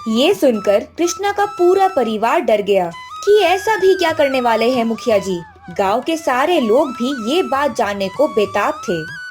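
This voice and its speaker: A woman's voice: high-pitched, very animated, unhurried, a little mellow. A woman narrating a scripted cartoon story as the storyteller. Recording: faint background music.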